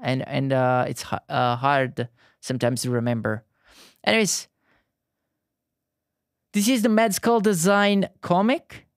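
Southern Italian male streamer, thirties, halting friendly chat, dry close sound. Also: mid-range voice, fairly even intonation, no room sound. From Italy. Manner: halting, frequent restarts and fillers, friendly. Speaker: art streamer. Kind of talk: casual live-stream chat while painting.

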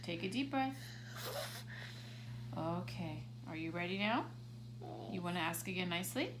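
A woman's voice: on the low side, expressive, normal speed, noisy background. A woman playing with a toddler on a living room floor, patiently prompting simple words and manners. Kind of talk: coaching a toddler through play. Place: carpeted living room at home, indoors.

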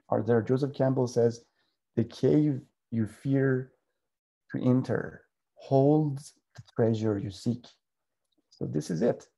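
A man gives a reflective talk over a webcam from a bookshelf-lined room at home. His voice is gravelly and husky, with a mellow, dark tone. He lets his phrases stretch out as he thinks aloud. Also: medium pitch. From Iran. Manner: thoughtful, drawn-out phrasing, tells a parable with voices. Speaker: guest speaker. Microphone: video call webcam.

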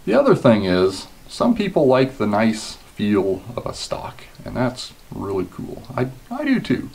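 Low-voiced casual man explaining rifle gear. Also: measured pace, gravelly. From Kansas, USA. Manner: relaxed and conversational, with frequent ums and you-knows. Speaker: competition shooter and channel host. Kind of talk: casual gear talk to camera. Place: small indoor gun room with mounted antlers.

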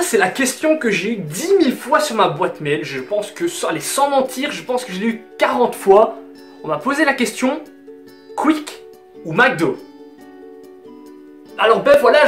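An eighteen-year-old man with a Belgian French accent from Hainaut, chatting casually and emphatically to camera. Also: high-pitched. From southern Belgium. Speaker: YouTuber answering viewer questions. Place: small bedroom, indoors. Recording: roomy bedroom sound, music underneath. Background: music.

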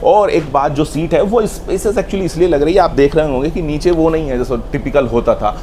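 A man's voice: on the high side, brisk, mellow. A middle-aged man, explaining things to viewers in a casual, conversational travel vlog. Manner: conversational, demonstrative, addresses viewers directly. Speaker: vlog host. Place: on a moving train and around stations. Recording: clearly noisy train and station ambience. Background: train and vehicle noise.

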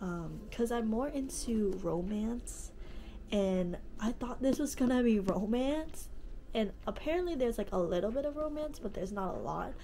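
A woman of nineteen talks to a close camera in her bedroom, her nose stuffed up from allergies. Her tone is mellow and warm, loosely strung together with fillers, and a faint room ambience hums behind her. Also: on the high side, animated, normal speed, a little hiss or hum. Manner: chatty, lots of "like" and "um", easygoing. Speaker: vlogger. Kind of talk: casual reading vlog chat. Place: bedroom, indoors. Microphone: camera at close range.

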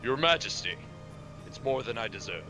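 A man's voice: lowish voice, expressive, moderate pace, music in the background, natural voice. A man voicing a loyal knight commander from a fantasy video game, speaking in formal declarations. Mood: proud, dutiful. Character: loyal knight commander reporting to his king.

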